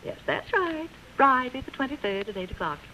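A society hostess in a vintage radio play, high-pitched and very animated. She is effusive, brisk and socially ambitious. The old recording is dull with faint hiss. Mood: gracious, chatty. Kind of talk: 1940s radio drama acting.

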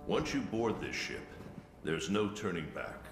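A grave commander of a fleet in a fantasy game, addressing voyagers with ceremony. The voice is very low and slow, ringing with echo. Solemn and commanding throughout. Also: male voice, very animated. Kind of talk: solemn cinematic game cutscene speech. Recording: echoey, music underneath.

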